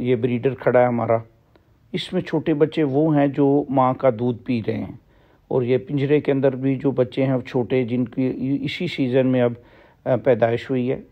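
A man walks through his farm and explains each shed in a steady, level voice that barely rises or falls. He pauses often and fills gaps with short hesitations. The handheld phone audio sounds slightly dull. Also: medium pitch. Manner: plain, explanatory, with frequent pauses and fillers. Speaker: farm owner giving a tour. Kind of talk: walking farm tour narration. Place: outdoors on a livestock farm. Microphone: phone camera held while walking.

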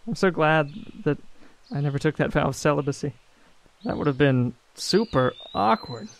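Lovestruck religious brother, young, highish voice, wordy, gushing, audio drama. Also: male voice, animated, moderate pace. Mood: smitten.

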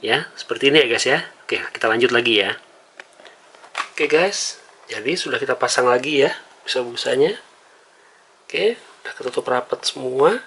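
A man's voice: mid-range voice, animated, normal speed, pauses often, bright, forceful tone, a little hiss or hum. An Indonesian man giving a casual, conversational hands-on tutorial as a vlogger. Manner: conversational, often tags phrases with "ya".

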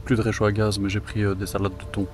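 A man's voice: lowish voice, fast talker, faint music behind. A man vlogging his solo kayak trip, talking casually to the camera outdoors by a river, thinking aloud and swearing now and then. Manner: relaxed and chatty, thinks aloud, swears casually, many fillers. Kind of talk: casual vlog narration to camera.

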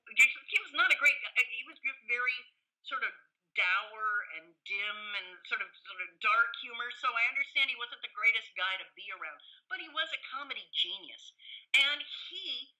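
Very bright-voiced mature woman, talkative. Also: on the high side, poor audio. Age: middle-aged or older. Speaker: guest expert, professor and author. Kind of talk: conversational podcast interview, anecdotal explaining.

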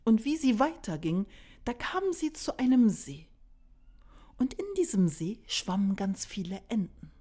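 A woman storyteller narrating a fairy tale expressively, unhurried and dramatic, at times hushed. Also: medium pitch, very animated. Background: piano music.

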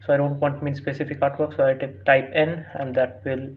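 Man, non-native english speaker, flat monotone, hesitant, lo-fi muffled desktop mic. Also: medium pitch, a little fast. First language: not English. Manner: hesitant, many fillers and restarts, reads prompts aloud. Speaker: tutorial host. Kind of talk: screen-recorded tutorial walkthrough. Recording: dull lo-fi sound with faint hiss.

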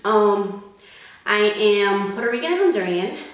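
A young woman in her twenties chats straight to camera in a lively, expressive way, now and then slipping Spanish words into her English. Her mid-pitched voice bounces around a bare, very echoey room, picked up by a camera mic at desk distance. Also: measured pace, lo-fi sound. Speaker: vlogger. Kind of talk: casual chat to camera.

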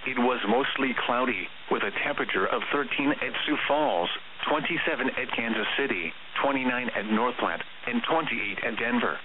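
A synthetic-sounding male announcer reads a scripted weather bulletin in a measured, formal way over broadcast radio. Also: mid-range voice. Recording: hissy, narrowband radio reception.

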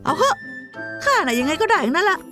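A woman's voice: very high voice, sing-song, quick pace. A woman voicing a small, proud, teasing spirit creature that scolds like a mentor in a Thai anime dub. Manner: teasing, lecturing, brash. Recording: voice over background music.

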